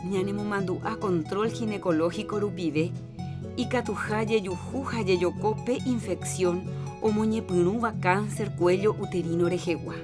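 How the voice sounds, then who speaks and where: A woman with a Paraguayan accent reading a scripted public health announcement in a measured, informative way. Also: mid-range voice. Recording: music bed under voice.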